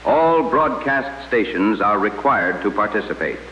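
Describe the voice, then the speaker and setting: A man playing a composed, official emergency broadcast announcer, reading formally and in a measured way. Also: lowish voice, animated, pushed and bright, slight background noise. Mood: calm, official. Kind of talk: scripted old broadcast announcement. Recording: muffled, echoey old radio broadcast sound.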